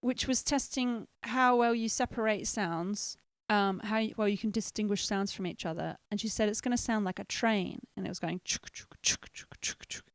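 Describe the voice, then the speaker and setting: A woman's voice: mid-range voice, moderate pace, hardly any pauses, no room sound. A woman co-hosting a casual livestream chat, telling anecdotes, laughing often and quoting the people in them. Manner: chatty, laughs often, tells anecdotes with quoted dialogue. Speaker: co-host.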